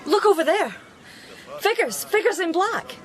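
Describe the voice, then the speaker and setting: A woman's voice: very high-pitched, sing-song, a little slow, faint music behind, heavily acted. A woman acting in a radio drama as a grieving mother, bitter, distraught and stubborn. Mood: alarmed.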